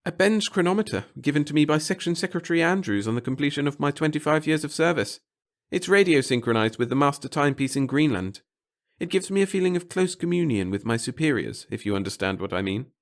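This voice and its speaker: Fussy little male clerk, acted by a man, obsequious and pompous, pitched up high, sci-fi audiobook character with florid, babbling delivery. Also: lively intonation, fast. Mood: fussy, self-important.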